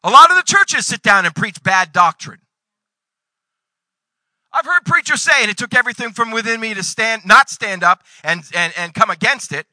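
A man in his forties preaching a sermon, reading scripture and breaking into sudden loud bursts. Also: high voice, expressive, normal speed, no room sound, low-quality recording. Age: 40s. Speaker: pastor.

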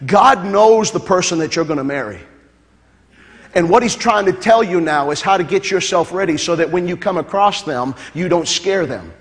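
A male pastor with a notably high voice preaches to a congregation, stacking repeated phrases as he builds to loud, fervent peaks. He breaks off for jokes with the crowd. His voice carries a long reverb from a large hall. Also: animated, normal speed, a little hiss or hum. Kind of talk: sermon.

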